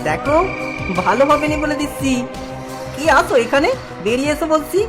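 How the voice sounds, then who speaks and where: A cheeky, poor village thief from a comic cartoon played by a woman, male but high in pitch. He swings up and down as he blusters and wheedles in a rustic way. Loud music runs underneath. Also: lively intonation, a little fast. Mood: defiant. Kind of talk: Bengali comic horror cartoon voice acting.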